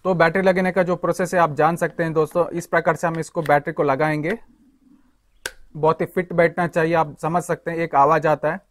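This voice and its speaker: A man's voice: on the high side, level tone, brisk. A young man giving a hands-on walkthrough of a tool, explaining each part to viewers he greets as friends. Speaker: tool reviewer.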